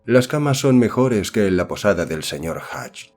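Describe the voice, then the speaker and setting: Man playing low-voiced, solemn colonial occultist. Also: sing-song, moderate pace, a little mellow, faint music behind. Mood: courteous. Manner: archaic, solemn, courteous diction. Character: secretive colonial occultist writing to a fellow sorcerer, formal. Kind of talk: dramatised letter reading in horror audiobook.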